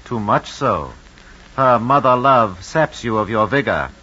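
An actor playing a cautious, scheming mentor to an emperor, formal and insinuating, in an old-time radio drama. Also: male voice, medium pitch, animated, a little slow, bright, forceful tone, breathy edge, full character voice. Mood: urgent, insinuating. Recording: muffled, noisy old radio recording.

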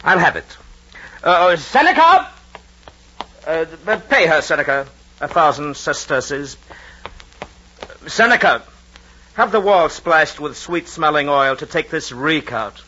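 An actor playing a scheming, petulant Roman emperor in an old radio drama, with a hesitant, stammering, theatrical delivery. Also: male voice, fairly high pitch, big pitch swings, a little slow, pauses often, pushed and bright, a little hiss or hum, exaggerated voice. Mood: imperious. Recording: dull vintage radio recording.

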